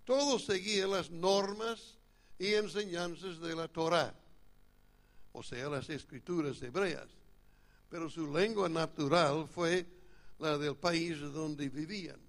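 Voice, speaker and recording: A man whose first language is English preaching a sermon at a church retreat in Spanish with an American accent, in a measured, explanatory teaching manner. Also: on the high side.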